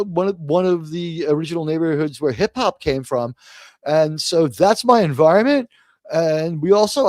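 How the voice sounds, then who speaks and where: A man's voice: fairly high pitch, darkish tone, no echo, studio quality. A man from Queens, New York hosting a live discussion show, blunt and opinionated, swearing freely. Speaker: show host. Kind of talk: casual live panel talk.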